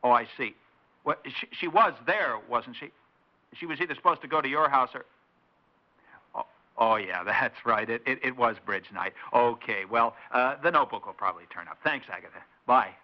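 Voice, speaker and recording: A man's voice: mid-range voice, moderate pace, natural voice. A suspicious suburban husband, acted by a man in a vintage sixties radio drama. His mid-range voice is indignant and sardonic, full of exasperation. The recording is muffled, old radio sound. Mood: agitated, controlled. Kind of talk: 1960s radio drama acting. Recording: dull 1960s radio recording.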